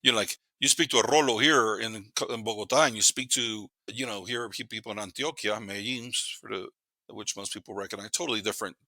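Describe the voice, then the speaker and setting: Bright, punchy man in his fifties. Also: fairly low pitch, fairly even intonation, fast talker, no room sound, poor audio. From Panama. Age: 50s. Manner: blunt, slangy, profane, repeats words for emphasis. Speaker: podcast guest. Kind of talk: casual chat, storytelling.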